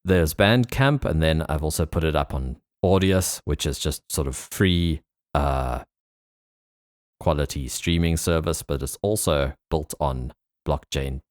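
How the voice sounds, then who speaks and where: A man hosting a podcast, talking in a casual, reflective, informal way with a husky voice. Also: rumbling low, measured pace, darkish tone, no echo, clean studio sound. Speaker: podcast host. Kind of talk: casual conversational interview.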